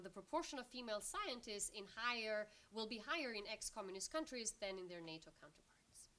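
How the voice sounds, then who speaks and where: A woman's voice: mid-range voice, bright, forceful tone. A woman from Albania giving an academic conference presentation as a researcher, explaining step by step.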